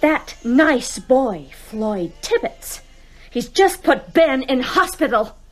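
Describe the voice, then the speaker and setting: A woman's voice: sits high, sing-song, slow, slight background noise, heavily acted. An actress playing a headstrong, emotional young woman in love, in a radio drama. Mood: worried. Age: young adult.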